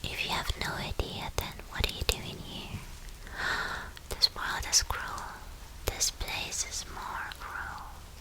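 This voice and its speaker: A woman's voice: slow pace, natural voice, low-quality recording. A woman playing a smug, possessive villain girlfriend in a whispered ASMR roleplay, teasing and condescending as she repeats her phrases. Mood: mocking.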